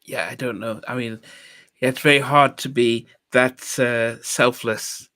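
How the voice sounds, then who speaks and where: A man's voice: mid-range voice, fairly even intonation, measured pace, sharp tone, no room sound. A man in his fifties, from Nigeria, hosting a podcast in a reflective, hesitant way with many restarts. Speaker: podcast host. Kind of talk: casual interview chat.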